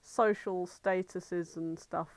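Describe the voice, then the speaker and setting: A young British woman co-hosting a podcast, chatting casually and comically, telling stories in an animated way and acting out bits of dialogue. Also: fairly low pitch, slow, no room sound. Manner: animated storyteller, acts out imagined dialogue. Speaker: podcast co-host. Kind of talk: casual comedic chat.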